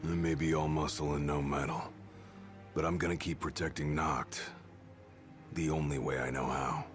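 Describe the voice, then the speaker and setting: A very deep-voiced gruff bodyguard. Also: male voice, flat delivery, moderate pace. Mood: reflective. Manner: gruff, blunt, cocky. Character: brawny young male royal bodyguard, blunt and cocky. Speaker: video game character. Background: game score music.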